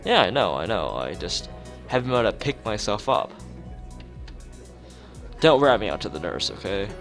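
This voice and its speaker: An easygoing teenage schoolboy in a visual novel, read by a man. The voice is low and varied in pitch, with a sheepish, self-deprecating manner. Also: big pitch swings, normal speed, fairly bright tone, soft background music.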